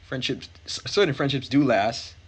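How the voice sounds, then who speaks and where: A man's voice: medium pitch, moderate pace, breathy edge. A man narrating a visual novel and commenting casually as he plays. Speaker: let's player. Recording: faint background noise.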